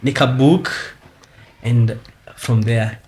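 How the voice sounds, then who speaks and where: A man's voice: medium pitch, steady tone, slow pace, crowd noise. A Kenyan man in his twenties, a guest, chatting casually and switching between English and Swahili as he tells his story. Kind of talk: casual chat. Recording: roomy, faint noise.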